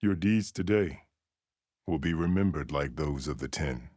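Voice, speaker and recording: Very deep, commanding warrior chief. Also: male voice, expressive, a little slow, a little mellow, no room sound, clean studio sound, exaggerated voice. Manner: solemn, formal, authoritative. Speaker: video game character voice actor. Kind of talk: dramatic game dialogue.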